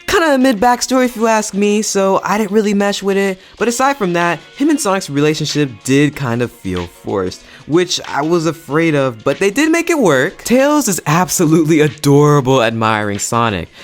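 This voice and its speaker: A man's voice: high voice, big pitch swings, normal speed, hardly any pauses, faint music behind. A young man hosting a casual video review in an enthusiastic, slangy, emphatic way. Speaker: YouTube host and film reviewer.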